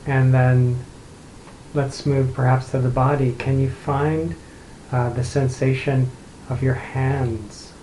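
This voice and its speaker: A man guides a meditation in a mellow, relaxed voice with very little rise and fall. He speaks gently, leaving long pauses between simple instructions. The room adds noticeable echo around his words. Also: medium pitch. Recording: roomy echo, light background noise.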